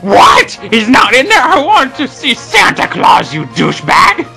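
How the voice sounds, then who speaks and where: Man doing a creaky, piercing cranky cartoon ape. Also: on the high side, huge pitch swings, moderate pace, bright, forceful tone, soft background music, full character voice. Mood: exasperated. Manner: exasperated ranting. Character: cranky, foul-mouthed old male ape and bumbling henchman. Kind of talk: amateur comedic cartoon fandub.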